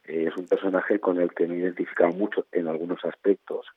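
A man's voice: low voice, level tone, fast talker, breathy edge, dry recording. A man in his fifties from Spain, a novelist answering interview questions thoughtfully, often repeating words and ending with tag questions. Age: 50s. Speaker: guest novelist. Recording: tinny phone-like line.

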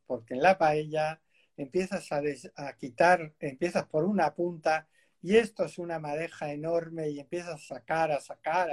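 A man's voice: on the high side, a little mellow. A male radio host in casual conversation, rambling and laughing often as he talks, recorded on a studio microphone.

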